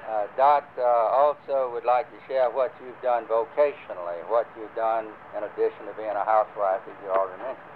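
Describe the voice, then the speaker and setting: Older man, unhurried church announcements, halting with fillers, tinny phone-like sound, faint hiss. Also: fairly low pitch, fairly even intonation. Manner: rambling, many fillers and restarts. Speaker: church class leader. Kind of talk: casual talk to a church group.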